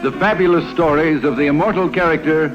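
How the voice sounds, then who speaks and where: A man's voice: a little high, quick pace, lo-fi sound. A male announcer presenting a 1950s radio show in a formal, dramatic manner on a vintage broadcast recording. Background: music.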